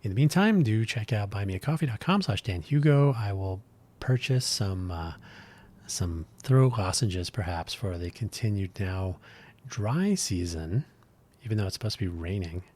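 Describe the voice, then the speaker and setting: Scratchy-voiced deep man musing solo. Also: animated, moderate pace, a little mellow. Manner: rambling, thoughtful, frequent fillers and restarts. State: scratchy, dry throat. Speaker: podcast host. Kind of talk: solo podcast monologue.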